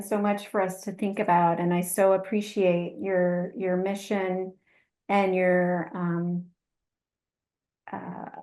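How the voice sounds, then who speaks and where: A woman's voice: lowish voice, vocal fry, a little mellow. A woman moderating a webinar on a video call, introducing a guest and asking questions with frequent ums and restarts.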